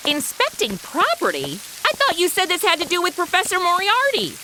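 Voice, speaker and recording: A woman's voice: very high-pitched, huge pitch swings, a little fast, pushed and bright, exaggerated voice. A woman playing a brash, sarcastic, whiny modern American sidekick in a comedy audio drama, full of slang. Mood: alarmed, sarcastic. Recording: noisy, rain-like hiss behind.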